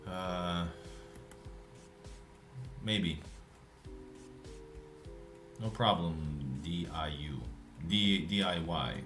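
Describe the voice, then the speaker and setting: A man with a Russian accent, live streaming and chatting casually with viewers, speaking in a deliberately lazy, relaxed way. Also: low voice, reverberant, faint music behind. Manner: intentionally lazy, relaxed way of talking.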